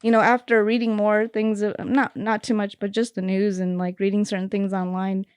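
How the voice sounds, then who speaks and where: A woman talking as a guest on a casual podcast, thinking aloud and hesitating with lots of fillers. Her voice is smooth and clear at a middle pitch. The sound is dry, with little room echo. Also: little pitch movement, normal speed, a little mellow. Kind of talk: casual conversation.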